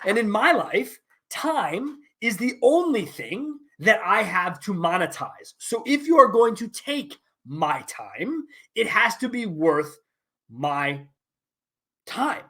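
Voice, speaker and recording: A man's voice: high-pitched, big pitch swings, slow, pushed and bright. A man hosting a solo podcast, talking bluntly and candidly with casual swearing and emphatic asides. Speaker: podcast host. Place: his office.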